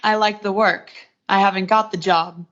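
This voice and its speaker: A woman's voice: medium pitch, steady tone, moderate pace, a bit bright, dull audio, close to an everyday voice. A young woman playing an ambitious, blunt, easily rattled career woman in a 1940s-style suspense radio play. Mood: sharp, impatient. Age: young adult.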